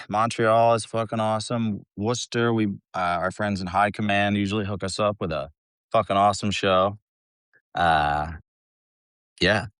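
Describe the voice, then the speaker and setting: A man from the United States talking casually as an interview guest, laid-back, slangy and quick to swear. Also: lowish voice, fairly bright tone, clear, resonant tone, no echo, studio quality. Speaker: interview guest, band vocalist. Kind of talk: casual chat.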